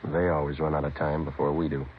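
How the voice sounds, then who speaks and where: An American actor playing a terse undercover narcotics sergeant in a 1950s radio drama, clipped and matter-of-fact. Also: male voice, extremely low pitch, level tone, fast talker, very noisy. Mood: weary, dry. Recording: muffled vintage radio recording with music.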